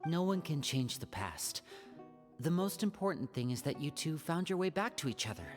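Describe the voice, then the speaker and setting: A woman voice actor playing a calm, considerate young traveler in a video game. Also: low voice, moderate pace, big-room sound, soft background music. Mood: reassuring.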